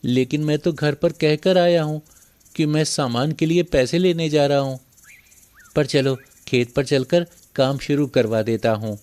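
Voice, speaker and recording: A poor, soft-hearted farm labourer voiced by a man in a cartoon moral tale. His voice is somewhat high for a man, and he speaks meekly, with earnest pleading. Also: measured pace, clean tone, natural voice. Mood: resigned. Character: poor, meek, kind-hearted younger brother, a farm labourer. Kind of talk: moral story cartoon dialogue.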